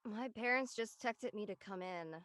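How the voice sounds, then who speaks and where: A woman's voice: medium pitch, moderate pace, a bit bright, dry recording, natural voice. A woman playing a level-headed young woman leaving for college in casual scripted dialogue. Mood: sincere, hopeful.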